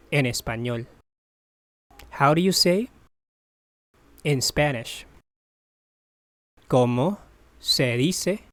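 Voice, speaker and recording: A voice reading a phrasebook drill at a very slow, careful pace. It says each phrase, translates it, then repeats it broken into parts. The recording is dry and close, with no room sound. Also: medium pitch, dry recording. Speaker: language teacher.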